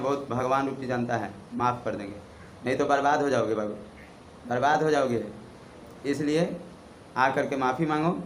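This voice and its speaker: A man with a Bihari accent speaks in an echoey room with faint noise behind him. He addresses people directly as a channel commentator. His delivery is confrontational and scolding, built on pointed questions. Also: medium pitch. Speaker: YouTube channel host. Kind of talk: opinion commentary to camera, direct address to viewers. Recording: roomy echo, faint background noise.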